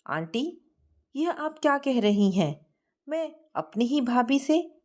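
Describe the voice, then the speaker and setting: A woman's voice: sits high, very animated, takes it slowly, darkish tone, voice pushed up, full character voice. A woman narrator voicing an earnest, troubled young man who protests against a marriage arranged for him. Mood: shocked.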